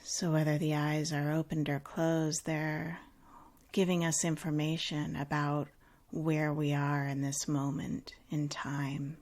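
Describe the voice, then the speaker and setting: Female meditation guide, low register, very slow delivery, calm and unhurried, gentle instruction with generous pauses.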